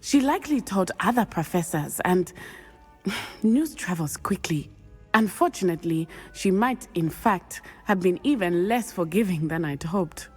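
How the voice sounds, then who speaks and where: A woman voicing an earnest young female student who calmly tells how her father died, in a video game cutscene. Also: on the low side, a little slow, husky, soft background music. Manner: earnest, composed storytelling.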